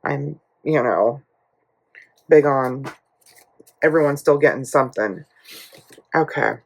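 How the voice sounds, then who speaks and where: A woman with a hoarse, gravelly voice chats unhurriedly to camera in a casual budgeting vlog. She rambles through a family night out, leaning on fillers and asides while she counts out cash. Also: a bass voice, slow, rough recording. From United States. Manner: rambling storytelling with many fillers. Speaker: budgeting YouTuber.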